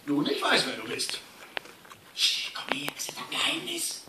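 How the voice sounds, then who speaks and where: A man playing an eccentric, teasing old monkey shaman in a live radio play, riddling and excitable. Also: very high-pitched, moderate pace, heavily acted. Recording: echoey hall, distant handheld phone, noisy.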